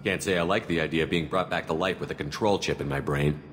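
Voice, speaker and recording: Casting: a principled, blunt commander for a game, quick delivery, very low voice, reverberant sound. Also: male voice, a bit bright, slight background noise. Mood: direct, businesslike. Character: firm, direct military commander, wary and principled. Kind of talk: video game voice acting.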